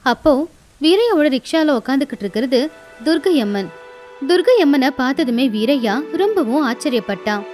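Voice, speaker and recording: A woman's voice: sits high, very animated, normal speed, a little mellow, soft background music. A woman narrating a scripted moral tale as a storyteller.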